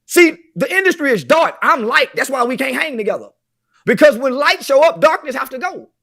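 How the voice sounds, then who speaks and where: Brash boastful man with a very high voice. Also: big pitch swings, a little fast, sharp tone. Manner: brash, boastful, preachy, heavy swearing. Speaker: podcast guest. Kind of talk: boastful podcast conversation.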